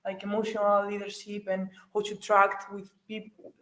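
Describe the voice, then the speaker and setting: Eighteen-year-old woman from Spain, halting. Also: mid-range voice, completely flat delivery, fairly bright tone. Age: teens. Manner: halting, searching for words. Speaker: participant. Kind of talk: interview answers. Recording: echoey space.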